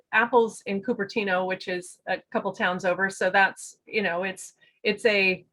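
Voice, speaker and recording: A woman's voice: mid-range voice, pushed and bright. A woman, a guest and business owner, talking chattily over a video call with frequent fillers and light laughter. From United States. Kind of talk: casual interview talk.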